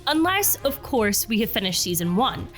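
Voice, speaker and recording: A woman's voice: fairly high pitch, big pitch swings, moderate pace, faint music behind. A woman co-hosting a TV recap podcast, chatty and giggly in casual talk. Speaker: podcast co-host.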